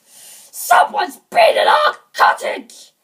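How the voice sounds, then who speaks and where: A woman voicing a huge, angry father bear for a storybook, in a big, booming, growling voice. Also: far from the mic. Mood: angry, outraged. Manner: growling, slow shouting.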